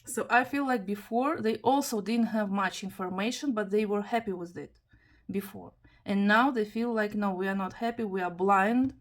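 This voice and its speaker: A woman from Kazakhstan, not an English speaker, giving a tarot reading in careful, halting English. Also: mid-range voice. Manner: careful, halting non-native English with restarts. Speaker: tarot reader.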